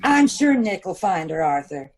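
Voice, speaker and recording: A woman's voice: fairly low pitch, very animated, moderate pace, a little mellow. A woman playing a poised, dryly witty detective's wife in an old-time radio comedy-mystery. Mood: composed, wry. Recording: faint noise and clicks.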